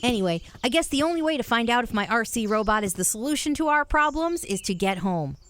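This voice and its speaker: A woman's voice: on the high side, animated, fast talker, fairly bright tone. A woman playing a sensible, thoughtful young boy who keeps the peace in a children's audio drama. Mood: reassuring.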